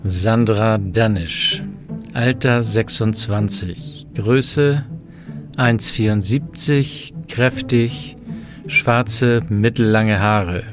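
A man's voice: lowish voice, very slow. A man playing a dry, matter-of-fact, level-headed professor in a German sci-fi audio drama. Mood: focused, dry. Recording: music under the dialogue.

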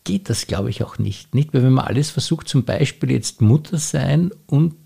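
A man's voice: medium pitch, expressive, moderate pace, vocal fry, dark tone, slightly rough. A man, hosting and interviewing on a podcast. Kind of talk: introduction and conversational interview.

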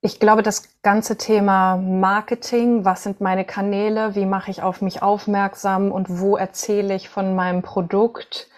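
A woman's voice: medium pitch, level tone, a little slow, hardly any pauses, a little mellow. Woman from northern Germany, interview guest. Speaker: voice coach and opera singer, podcast guest. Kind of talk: conversational interview answers.

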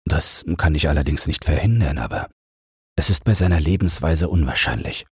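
A man's voice: rumbling low, expressive, normal speed, slightly rough, no room sound, studio quality, heavily acted. A man voicing an earnest, stubborn young businessman defending himself in an audiobook. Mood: conciliatory.

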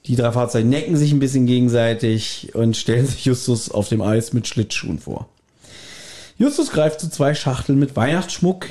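A man's voice: medium pitch, warm tone. A man hosting a podcast, giving a casual recap partly read from his notes, with playful irony. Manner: playfully ironic. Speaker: podcast host.

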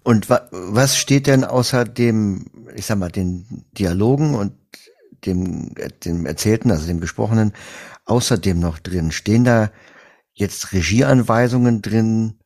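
A man conducting a conversational interview, asking in a halting way and rephrasing as he goes. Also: on the low side, darkish tone.

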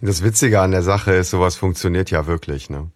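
A man's voice: sits low, a little fast. A man co-hosting a podcast in casual chat, talking with many fillers and asking for agreement. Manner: chatty, tag questions, hesitant fillers. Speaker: podcast co-host.

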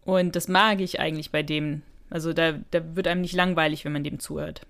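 A woman's voice: deep voice, fairly even intonation, fairly quick, vocal fry, a bit bright. A woman chatting casually and agreeably as a podcast co-host, giving recaps and opinions. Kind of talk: casual chat recapping and reviewing an audio drama.

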